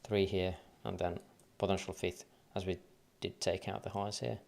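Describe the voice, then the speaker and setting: A deep-voiced man from Finland with a light Finnish accent and a gravelly, creaky edge. He talks casually through trading charts on a livestream. He thinks aloud and mutters wave counts under his breath. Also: level tone, normal speed, darkish tone.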